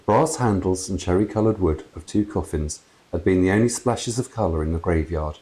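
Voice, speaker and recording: A man in his fifties reading a children's story aloud in an expressive storytelling style. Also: sits low, moderate pace. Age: 50s. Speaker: author reading own book aloud. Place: small room with wooden door and brick wall. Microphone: clip-on lapel mic.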